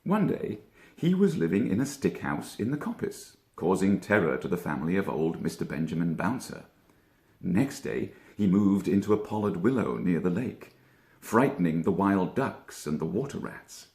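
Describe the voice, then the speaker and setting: A male actor narrates a children's storybook with highly animated, swooping intonation. His lowish voice has a creaky, gravelly grain and deliberate dramatic phrasing. The room around him adds noticeable echo.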